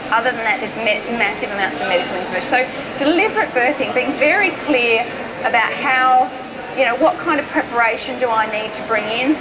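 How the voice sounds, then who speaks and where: High-voiced Australian woman presenting over loud noise. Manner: emphatic, conversational. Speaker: health educator giving a public talk. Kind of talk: informal seminar presentation. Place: indoor presentation stage at an expo.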